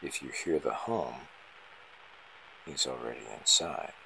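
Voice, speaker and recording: Very deep, gravelly male horror narrator. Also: bright, forceful tone, a little hiss or hum, low-quality recording. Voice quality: gravelly, husky. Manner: slow, ominous, short dramatic phrases. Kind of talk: scripted first-person horror narration.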